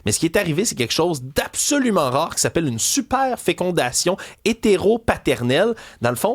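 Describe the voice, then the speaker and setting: A man's voice: fairly high pitch, big pitch swings, fairly quick, dry recording, clean studio sound. A man presenting a story on a talk show, explaining it step by step and checking in with emphatic asides. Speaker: co-host presenting a news story. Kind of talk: casual explanatory chat.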